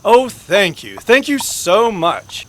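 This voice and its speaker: Man acting a courtly, lively-pitched Western gentleman. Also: takes it slowly, full character voice. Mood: grateful. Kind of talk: old-time radio western acting.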